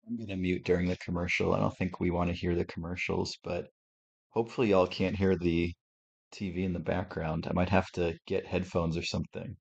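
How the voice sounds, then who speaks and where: Male host, very low sportscaster-like voice, relaxed and thinking aloud; dull lo-fi mic. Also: a little fast, gravelly, breathy edge. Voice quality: deep sportscaster-like radio voice. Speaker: live stream host. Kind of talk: casual live game reaction commentary. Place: home with a TV on. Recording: slightly muffled lo-fi audio.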